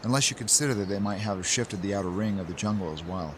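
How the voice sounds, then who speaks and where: A man playing a measured, pedantic inventor in an amateur radio drama, explaining things precisely. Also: lowish voice, background noise, close to an everyday voice. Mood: matter-of-fact.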